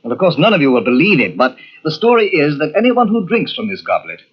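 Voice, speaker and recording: An actor playing a nervous, haunted gentleman with a hesitant, dramatic delivery in an old-time radio mystery. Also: on the high side, huge pitch swings, fast, breathy edge, exaggerated voice. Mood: grave, uneasy. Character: nervous, haunted gentleman host with a dark secret. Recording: dull old radio tape from cassette.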